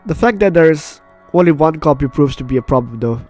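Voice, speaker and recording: A man's voice: fairly high pitch, animated, a little fast, darkish tone, faint music behind. A man doing a let's play, reading a visual novel aloud and breaking off into playful, chatty asides. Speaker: gaming YouTuber. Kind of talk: let's play, reading game text aloud with casual reactions.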